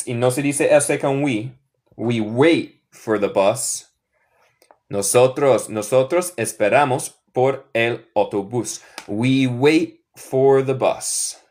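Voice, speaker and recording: Casting note: young American man, Midwestern accent, native English speaker teaching in Spanish, unhurried and methodical, repeats drills. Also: mid-range voice, darkish tone. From northern United States. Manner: slow, repeats example sentences several times. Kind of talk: live online English lesson taught in Spanish.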